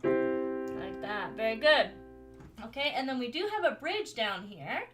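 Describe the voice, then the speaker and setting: A woman with a higher voice giving a relaxed, chatty online piano lesson, often pausing with "um" and small laughs. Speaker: piano teacher. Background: piano playing.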